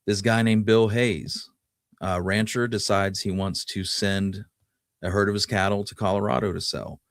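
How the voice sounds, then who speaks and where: A man co-hosting a talk show, telling history conversationally and pausing now and then to recall details. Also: fairly low pitch, fairly even intonation, dry recording, studio quality. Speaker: podcast co-host.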